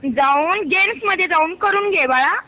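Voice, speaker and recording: A woman's voice: very high-pitched, animated, normal speed, pushed and bright, a little hiss or hum. A woman with a Marathi accent on a phone call, speaking in a curt, irritated, scolding way. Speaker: beauty parlour worker receiving a call. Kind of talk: exasperated phone conversation. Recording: dull lo-fi phone line.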